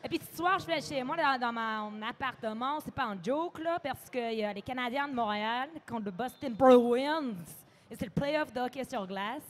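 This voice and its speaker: A woman's voice: fairly high pitch, moderate pace, close to an everyday voice. Broad Québécois caricature, hearty and folksy, slang-heavy, done by a woman as a joke. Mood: hamming it up. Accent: put-on Québécois.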